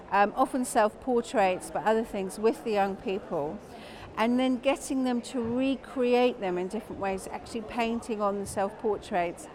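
A woman lecturer calmly explaining her photography work in a short interview. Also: a little high.

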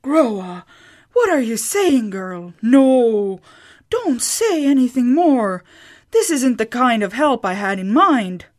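A woman voicing a practical, exasperated farm wife who speaks bluntly and indignantly, at a slow pace. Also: medium pitch, expressive. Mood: shocked.

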